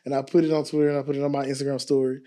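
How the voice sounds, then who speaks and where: A man's voice: medium pitch, level tone, rapid-fire, mellow. An American man from Texas, a podcast guest telling stories casually in a playful, candid, emphatic way. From Dallas, Texas. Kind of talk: casual storytelling chat.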